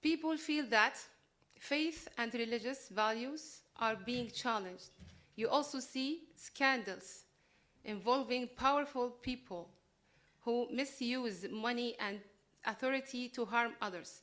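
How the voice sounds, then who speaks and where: A woman reading prepared remarks to a gathering in an earnest, deliberate way. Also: a little high, fairly bright tone. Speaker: invited speaker.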